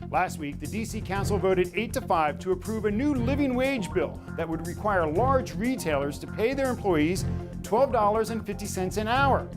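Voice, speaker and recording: A man with an American accent reading scripted news as an anchor. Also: high voice.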